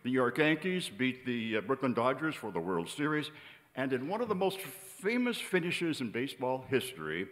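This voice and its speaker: An American man in his seventies announces from a theater stage with a lively, swinging intonation. He reads from notes in a measured, emphatic way, and his voice rings with the long reverb of a big hall. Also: mid-range voice. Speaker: announcer introducing a stage show. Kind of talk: prepared stage introduction read from notes. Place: on stage in a large indoor theater. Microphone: vintage-style microphone on a stand.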